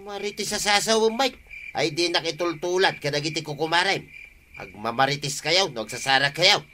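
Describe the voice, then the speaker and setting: An actor plays an exasperated village husband in an Ilocano radio comedy. His voice is fairly high and very piercing, grumbling in a northern Philippine accent, with noise and chirping crickets behind. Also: animated, normal speed, pushed and bright. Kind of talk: comedy radio drama acting.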